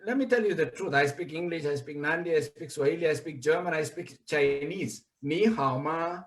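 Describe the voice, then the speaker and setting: A man hosting a livestream book launch, reading out viewer comments in an animated, chatty way. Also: a little high, moderate pace. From Kenya. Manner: animated, reads out comments, laughs easily. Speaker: host. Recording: echoey room.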